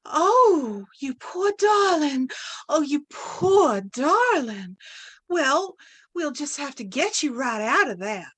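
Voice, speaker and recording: Clingy radio seductress, highish voice all swoops, cooing and theatrical. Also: female voice, sing-song, takes it slowly, fairly bright tone, exaggerated voice. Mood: sugary, determined. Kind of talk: old-time radio drama acting.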